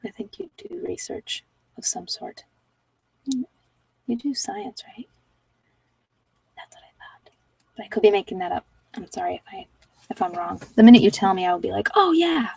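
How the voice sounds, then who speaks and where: A woman speaks softly in a casual livestream chat, often dropping toward a whisper, rambling reflectively and trailing off mid-thought. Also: medium pitch, big pitch swings, normal speed, vocal fry, pauses often, breathy edge, no echo, distant mic, poor audio. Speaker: ASMR meditation host.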